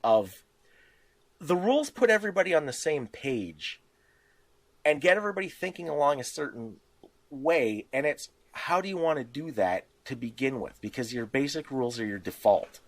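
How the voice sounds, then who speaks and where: A man's voice: mid-range voice, animated, moderate pace. A man in casual podcast discussion about tabletop games, explaining things with examples and little acted-out asides. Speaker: podcast co-host.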